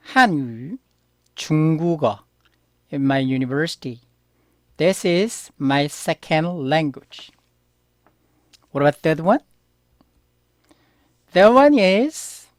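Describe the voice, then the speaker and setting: A middle-aged man from Korea with a Korean accent, giving a beginner language lesson in English. He talks slowly and carefully, stresses key words and asks his learners questions. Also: a little high, dry recording, studio quality.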